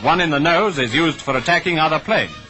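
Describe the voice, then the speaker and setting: A man's voice: fairly high pitch, animated, brisk, bright, forceful tone, soft background music. A man with a British RP accent delivering clipped, declamatory wartime newsreel commentary on an old 1940s soundtrack. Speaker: newsreel narrator.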